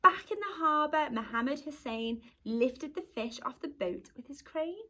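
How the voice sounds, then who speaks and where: A woman teacher reading a rhyming picture book aloud to young children in an expressive storytelling manner. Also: high-pitched, unhurried. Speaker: primary school teacher.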